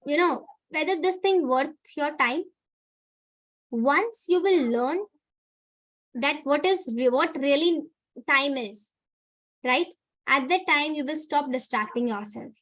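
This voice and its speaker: Young indian lady teacher, high voice, keeps saying right? to the kids. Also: fairly bright tone, poor audio. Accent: Indian English. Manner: chatty, repeats "right?" to check in with students. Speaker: online teacher. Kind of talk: motivational talk to students in a live class.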